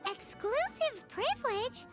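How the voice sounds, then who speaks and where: Super high squeaky fairy sidekick for a game, cheeky n excitable, loud music under it. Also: female voice, takes it slowly, exaggerated voice. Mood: skeptical. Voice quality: squeaky, childlike. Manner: speaks of herself in third person, exclamatory. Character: small childlike female fairy companion, cheeky and excitable. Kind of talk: video game character acting.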